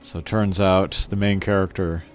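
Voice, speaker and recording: A man's voice: deep voice, little pitch movement, normal speed. A man hosting a casual video game playthrough, laid-back and hesitant as he comments. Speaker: gaming channel host. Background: game music.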